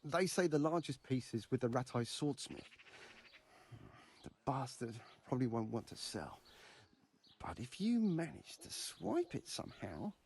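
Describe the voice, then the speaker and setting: A man's voice: mid-range voice, sing-song, a little slow, a little mellow, a little hiss or hum. An actor playing an eager, devout, grasping medieval craftsman in a video game, talking emphatically and persuasively. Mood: indignant, insistent. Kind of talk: video game dialogue acting.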